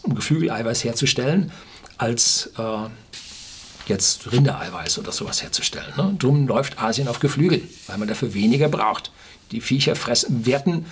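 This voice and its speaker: Man in his 60s, from Germany, very husky rough voice, medium pitch, emphatic, opinionated video monologue. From Ruhr area, Germany. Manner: emphatic, rhetorical questions, clipped asides. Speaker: video blogger. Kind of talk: opinionated informal explainer monologue to camera. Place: small furnished room indoors.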